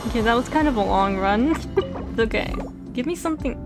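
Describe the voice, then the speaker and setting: A woman's voice: on the high side, expressive, a little fast. Giggly young Texan woman streamer. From Texas. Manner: giggly, chatty, frequent laughs. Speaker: VTuber streamer. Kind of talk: casual gameplay chat. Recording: game music behind. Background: lofi background music.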